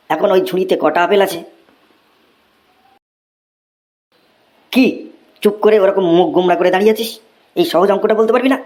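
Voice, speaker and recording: An actor voicing a theatrical, excitable schoolteacher who scolds and gushes in a comedy cartoon. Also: medium pitch, lightly acted. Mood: stern quizzing. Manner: theatrical, swinging from scolding to gushing praise. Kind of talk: exaggerated Bengali cartoon comedy dubbing.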